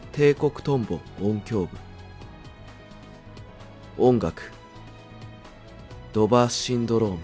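A man with a fairly low voice reads drama credits very slowly as a scripted narration. Music plays behind him. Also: mellow.

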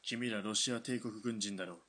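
A man plays a commanding army officer in a radio drama, his voice lowish with a bright, forceful edge. It is husky, and his orders come out curt and final. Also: fairly even intonation, moderate pace. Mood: stern. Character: stern Japanese army lieutenant colonel. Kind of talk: hobby radio drama acting.

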